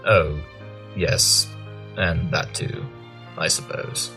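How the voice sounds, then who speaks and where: A haughty, brilliant detective stallion, acted by a man, with a low voice full of gravel. He speaks in a clipped, analytical way, as in a radio play with soft music behind. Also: steady tone, very slow, full character voice. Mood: dry, awkward. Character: brilliant, aloof, socially tactless male pony detective. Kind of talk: audio drama acting.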